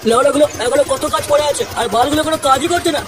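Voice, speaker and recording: A performer plays a bossy, irritable old cartoon builder who scolds in crude language. The pitch is unnaturally high and the delivery brisk. The sound is a little distant. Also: fairly even intonation, music in the background, close to an everyday voice. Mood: irritated, scolding. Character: grumpy, foul-mouthed old cartoon mason, bossy boss. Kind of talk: comedy cartoon dub.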